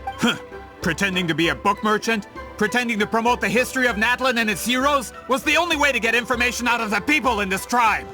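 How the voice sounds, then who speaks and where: An actor playing a scheming, vain merchant in a video game, with a theatrical, pompous, indignant manner. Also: male voice, sits high. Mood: villainous, boastful. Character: scheming, vain male merchant with grand business ambitions.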